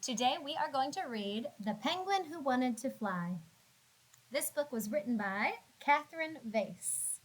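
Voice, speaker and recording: A woman with a fairly high voice reads a picture book aloud for young children. She goes very slowly, stretching words out and giving the narration dramatic, teacherly expression. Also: expressive. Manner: dramatic, drawn-out words and sound effects for young listeners. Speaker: classroom teacher reading aloud.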